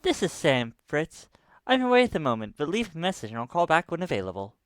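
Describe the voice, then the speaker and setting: An actor playing a guarded, serious man who has faced a monster before, explaining deliberately in an audio drama. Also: on the high side, wildly swooping pitch, clear, resonant tone, no room sound. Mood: businesslike.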